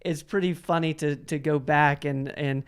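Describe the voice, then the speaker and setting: A man's voice: on the high side, fairly even intonation, moderate pace, clean tone. A man hosting a casual interview, asking long, rambling questions and often doubling back on his words. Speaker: interview host.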